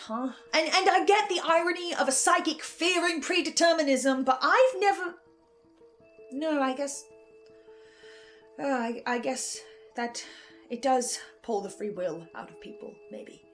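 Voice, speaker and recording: A woman playing an anxious psychic archivist who thinks aloud haltingly in an audio horror drama monologue. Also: high-pitched, animated, soft background music. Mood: anxious pondering. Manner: hesitant, searching, stammering monologue.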